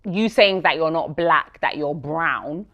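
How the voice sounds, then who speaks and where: A woman interviewing guests with measured, pointed questions. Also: deep voice, lo-fi sound.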